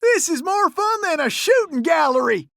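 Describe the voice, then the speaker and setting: An actor voicing a cocky, folksy raccoon gunslinger with a Southern cowboy drawl for an animated video game. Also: male voice, very high-pitched, moderate pace, vocal fry, no room sound, studio quality, full character voice. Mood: urgent, gleeful. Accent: American Southern cowboy drawl. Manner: folksy, excitable, exclamatory cowboy slang. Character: cocky, folksy cartoon Old West raccoon gunslinger, male.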